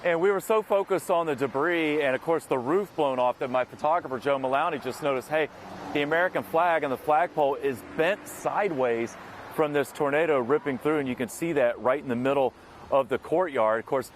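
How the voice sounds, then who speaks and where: A man's voice: a little high, brisk, slight background noise. A man reporting live from the scene as a TV field reporter, speaking in broadcast style. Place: outdoors at a storm-damage scene.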